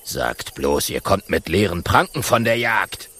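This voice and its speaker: A man voicing a vain, pompous male lion king with a deep, slow, rumbling growl, in a children's audiobook. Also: very animated, sharp tone, heavily acted. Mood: grumbling. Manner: self-important, drawling.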